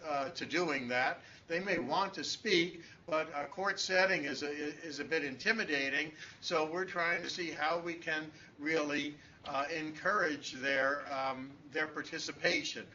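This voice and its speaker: A man speaks over a muffled remote connection. His voice is bright and slightly high, and he talks with frequent fillers and restarts. A faint hum of ambience sits behind him. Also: measured pace, hardly any pauses. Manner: hesitant, many restarts and fillers. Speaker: conference speaker, law professor. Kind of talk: conference talk over remote link. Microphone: distant room microphone on a call.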